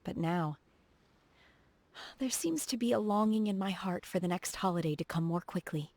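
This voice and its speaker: A woman voicing a reserved, earnest, socially awkward young female martial disciple in a video game, speaking in a formal, measured way. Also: lowish voice, a little mellow, no room sound. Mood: wistful. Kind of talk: video game character voice acting. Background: background music.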